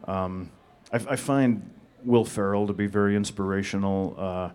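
A man answering audience questions at a panel in a flat, deadpan monotone. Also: on the low side, moderate pace, clean tone. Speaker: panel guest.

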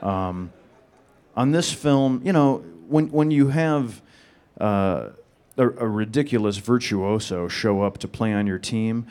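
A man answering audience questions at a panel in a flat, deadpan monotone. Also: on the low side, slow pace, smooth. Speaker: panel guest.

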